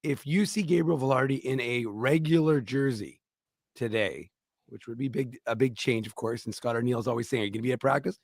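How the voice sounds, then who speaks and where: A man's voice: medium pitch, brisk, dry recording. A man co-hosting a sports talk show, floating a speculative question with plenty of asides. The sound is dry and close, with no room around the voice. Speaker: co-host.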